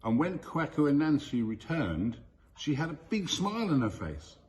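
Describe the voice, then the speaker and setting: A man reading a children's story aloud in a deliberate, expressive way with storyteller pauses. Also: fairly high pitch. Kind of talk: scripted storybook narration.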